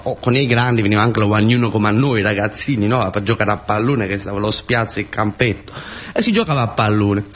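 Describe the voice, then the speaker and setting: A man's voice: mid-range voice, fairly bright tone. A man with a southern Italian accent narrating a colloquial theatrical monologue, with conversational asides and repetitions. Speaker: storyteller and stage actor.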